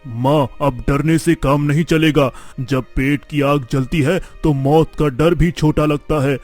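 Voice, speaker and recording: A man's voice: on the high side, fairly even intonation, measured pace, a little mellow, soft background music, close to an everyday voice. A young man playing a determined, boastful eldest son from a village in a cartoon story, with an earnest, declamatory delivery. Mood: determined, reassuring. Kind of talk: dramatic Hindi cartoon story dialogue.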